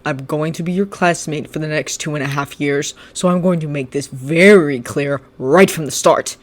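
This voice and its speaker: A narrator voicing a cold, resentful teenage boy who speaks low and seething and then shouts accusations. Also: normal speed, lightly acted.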